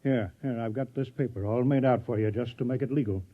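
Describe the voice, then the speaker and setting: An actor playing a bossy, folksy small-town mayor who cajoles fussily, in 1950s radio comedy style. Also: male voice, medium pitch, fairly quick, no room sound, natural voice. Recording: dull, dry vintage radio recording.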